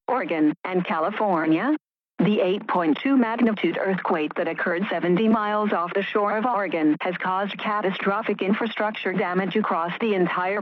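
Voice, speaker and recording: A woman's voice: medium pitch, dry recording, natural voice. A woman voicing a stern civil emergency announcer, reading an official warning with formal authority. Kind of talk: scripted emergency alert message. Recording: dull, dry radio alert audio.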